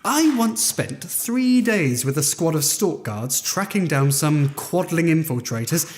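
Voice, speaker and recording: Wry, high-voiced palace guard. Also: male voice, lively intonation, a little slow, a little mellow. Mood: wry, musing. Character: chatty, quizzical veteran palace guard. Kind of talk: full-cast fantasy audio drama acting.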